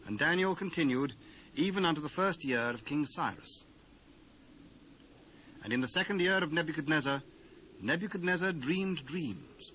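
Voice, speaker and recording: A man with a British accent narrates scripture in a formal, measured way. His pitch is medium, and the old cassette recording carries a light layer of noise. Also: sharp tone. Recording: noisy old cassette transfer.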